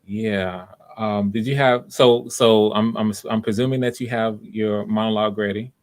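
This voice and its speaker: A man with a fairly low voice talks as the host of an online audition. His delivery is casual and encouraging, and he circles back to rephrase his points over a video call. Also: steady tone, moderate pace, a little mellow. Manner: encouraging, repeats and rephrases himself. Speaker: writer-director hosting live auditions. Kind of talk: casual unscripted hosting talk.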